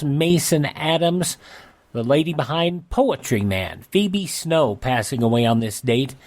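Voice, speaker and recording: A man hosting a radio show, reading out an almanac with casual asides in a halting, conversational way. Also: a little high, darkish tone. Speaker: radio host.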